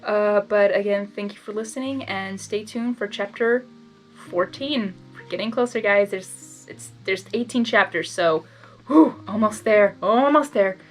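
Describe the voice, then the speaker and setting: Young woman from Hungary, casual reader-host, excitable, rambling commentary, mid-range voice, music underneath. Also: medium pitch, unhurried. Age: young adult. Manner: excitable, rambling, interrupts herself with reactions. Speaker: fan fiction reader and video host. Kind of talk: casual blind reading with personal commentary.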